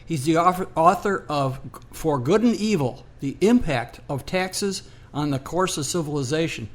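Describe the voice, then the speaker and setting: An American man co-hosting a radio show, explaining background in an unscripted, halting way. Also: fairly high pitch, expressive. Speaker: radio co-host.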